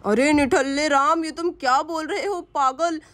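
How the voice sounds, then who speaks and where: A woman's voice: high-pitched, normal speed, a bit bright, voice pushed down. A woman voicing a bossy older man who scolds and mocks his young brother-in-law. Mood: scolding. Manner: scolding, mocking.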